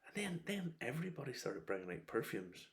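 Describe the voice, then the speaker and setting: A middle-aged man giving a casual, rambling review, opinionated and wry, breaking into animated asides. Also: mid-range voice, a little fast, creaky, slight background noise. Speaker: whiskey reviewer.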